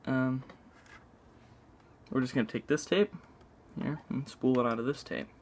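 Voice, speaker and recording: A man narrating a casual hands-on tutorial in a halting, unscripted way, thinking aloud as he works. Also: medium pitch, fairly even intonation. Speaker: video maker demonstrating a repair. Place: indoors at a tabletop.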